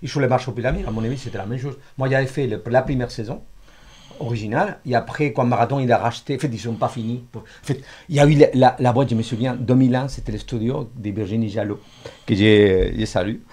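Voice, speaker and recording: A man, a guest artist with as his language, telling work anecdotes in a halting, digressive way. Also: mid-range voice, darkish tone, slightly rough. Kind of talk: animated interview anecdotes.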